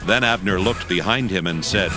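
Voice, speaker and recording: A man reading scripture as a scripted audio Bible narrator, solemn and with measured phrasing. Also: low voice, poor audio. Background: background music.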